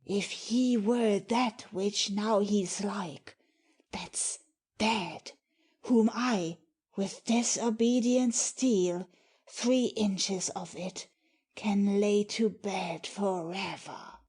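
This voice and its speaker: Woman as a very slow, scheming nobleman. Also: mid-range voice, darkish tone, husky. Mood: cold scheming. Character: cold, ambitious, scheming nobleman plotting murder. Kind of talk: dramatic reading of Shakespeare.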